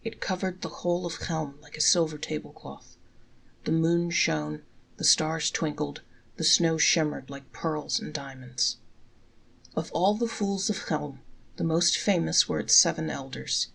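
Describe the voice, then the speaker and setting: Low-pitched, creaky woman storyteller. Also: measured pace, a bit bright. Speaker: folktale reader, storyteller. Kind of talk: scripted storybook narration.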